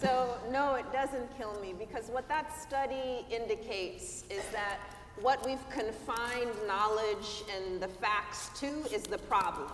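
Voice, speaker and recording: A woman panelist giving a measured, explanatory answer in a discussion. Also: a little high, a bit bright, slight background noise. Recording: echoey hall, mic from a distance.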